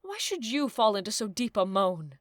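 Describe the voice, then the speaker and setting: A fretful, bossy servant nurse acted by a woman laments in a modern Shakespeare audio play. Her mid-pitched voice pleads and repeats itself, recorded dry and close. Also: big pitch swings, normal speed, dry recording, lightly acted. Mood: commanding, pleading.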